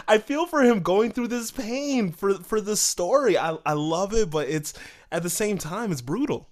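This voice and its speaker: A man's voice: sits high, animated, measured pace. A man co-hosting a podcast, explaining things casually in an earnest, emphatic way. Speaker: podcast co-host.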